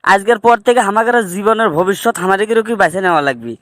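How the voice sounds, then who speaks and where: High-pitched, aggrieved Bangladeshi migrant worker played by a man. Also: expressive, fast, a bit bright, no echo, studio quality. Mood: scheming, resolute. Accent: northern Bangladeshi. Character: fed-up male migrant worker, aggrieved, scheming cartoon friend. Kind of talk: comic cartoon dubbing in colloquial dialect.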